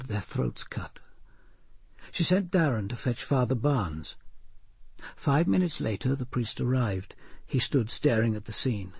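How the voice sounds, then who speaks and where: An actor playing a composed, observant senior detective, narrating a murder case in a measured, deliberate radio drama style. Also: male voice, medium pitch, gravelly, darkish tone, slightly rough, dry recording, heavily acted. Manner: deliberate, precise, grave.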